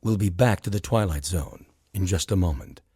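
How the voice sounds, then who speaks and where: A man's voice: low-pitched, moderate pace. A man announcing a radio drama in a scripted style. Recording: loud music under the voice.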